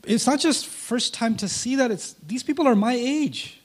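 A man's voice: extremely high pitch, moderate pace. A man whose first language is Urdu, a guest telling a personal story and acting out past conversations. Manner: animated, acts out past conversations. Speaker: interview guest.

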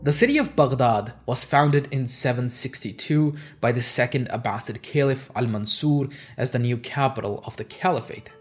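A man whose first language is Urdu, giving a measured, scripted history narration and stressing the transition words. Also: medium pitch, soft background music.

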